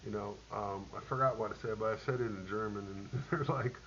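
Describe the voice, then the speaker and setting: A middle-aged man, a military veteran, telling a rambling personal war story, halting with many ums and restarts. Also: lowish voice, steady tone, fairly bright tone. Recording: dull lo-fi audio with faint hiss.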